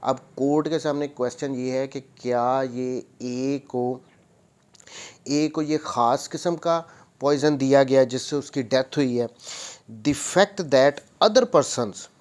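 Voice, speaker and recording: A man's voice: mid-range voice, takes it slowly, a little mellow. A man with a Pakistani accent lecturing on statute, reading out and then explaining in a repetitive, bilingual way. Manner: explanatory, repeats and rephrases points, switches between Urdu and English. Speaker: law lecturer, advocate.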